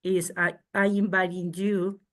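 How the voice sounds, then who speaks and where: A middle-aged woman from the Canary Islands with a Spanish accent, a native Spanish speaker, explaining and demonstrating informally in expressive non-native English. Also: fairly low pitch, unhurried. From Tenerife, Canary Islands, Spain. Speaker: guest craft and culture presenter.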